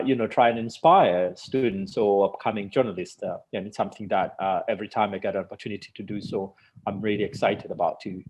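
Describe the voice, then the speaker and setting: Guest journalist: Tanzanian man, Swahili first language, low-ish voice, hesitant and restarting. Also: darkish tone, a little hiss or hum. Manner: halting, many fillers and restarts. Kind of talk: remote interview answers.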